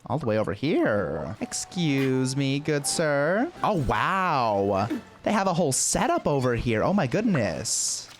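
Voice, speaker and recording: A woman's voice: a bass voice, sing-song, slow pace. A woman giving casual, gushing live commentary while she plays a game as a streamer. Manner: gushing, informal.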